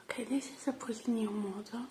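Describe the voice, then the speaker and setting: A woman doing an ASMR roleplay as a repair technician, whispering softly with gentle pauses. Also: on the high side, fairly even intonation, a little hiss or hum.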